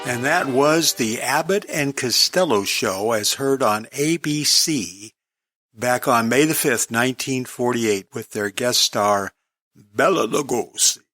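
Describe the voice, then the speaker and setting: A man's voice: mid-range voice, slow pace, sharp tone, soft background music. A man hosting a radio program, introducing episodes in a presenter's style. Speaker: radio show host.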